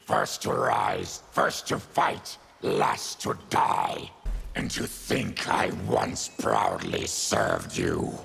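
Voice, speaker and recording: A man voicing a savage, diseased mutant warrior and bitter former soldier in a video game, with a gravelly, menacing, forceful growl. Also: very deep voice, wildly swooping pitch, very slow, a little hiss or hum, full character voice. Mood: defiant, bitter. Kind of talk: video game fighting character dialogue.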